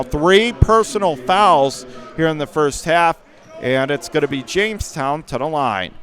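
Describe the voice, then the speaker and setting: Higher-pitched man calling live basketball play-by-play. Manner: clipped present-tense phrases, rising to excited calls on action. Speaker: play-by-play sports commentator.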